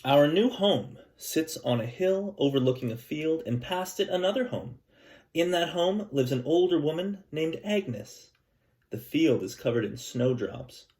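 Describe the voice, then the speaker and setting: A man teaching a class, chatting gently and reading a picture book aloud to children. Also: on the high side, expressive, unhurried. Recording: echoey room, mic at a distance.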